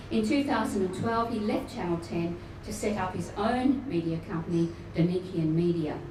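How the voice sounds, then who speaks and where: A woman welcoming guests at a luncheon, formal but with warm chatty asides. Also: medium pitch, a little slow. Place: function room at a luncheon event. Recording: echoey room, distant mic, noisy.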